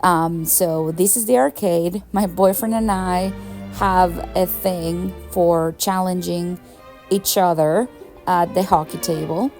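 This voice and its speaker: Gravelly-voiced woman vlogging her cruise. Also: lowish voice, takes it slowly, darkish tone, faint music behind. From Venezuela. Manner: chatty, enthusiastic, laughs often. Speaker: travel vlogger. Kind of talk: casual travel vlog voice-over.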